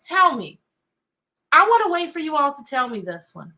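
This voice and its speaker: A woman teacher hosting an interactive read-aloud for children, talking in an animated way and putting questions to her young listeners. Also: high-pitched, moderate pace. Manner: animated, asking listeners questions and repeating them.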